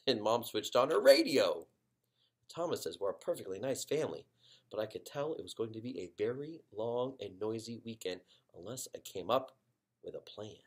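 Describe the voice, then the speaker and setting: A man narrating a children's book expressively as a story reader, with dramatic pauses and drawn-out emphasis. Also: on the low side, sing-song, slightly rough.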